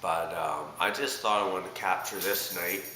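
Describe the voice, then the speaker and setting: A man's voice: sits low, moderate pace. A man from Canada with a stuffed-up cold, rambling hesitantly with many fillers in a casual video diary. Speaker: vlogger. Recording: echoey room with loud background noise.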